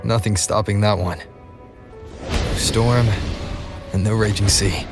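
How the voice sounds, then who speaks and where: An actor playing a calm, assured man in a video game drama. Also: lowish voice, slow. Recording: music behind the voice.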